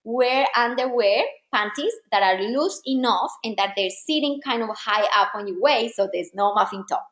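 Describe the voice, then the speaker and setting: A woman's voice: a little high, normal speed, hardly any pauses, fairly bright tone, reverberant. A woman style coach whose first language is not English, giving an encouraging, direct masterclass to women. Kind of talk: live online masterclass.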